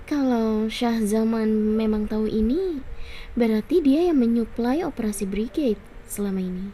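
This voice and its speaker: A woman's voice: fairly high pitch, moderate pace, mellow, soft background music. A woman with an Indonesian accent live-streaming a game, reacting casually and reading dialogue aloud.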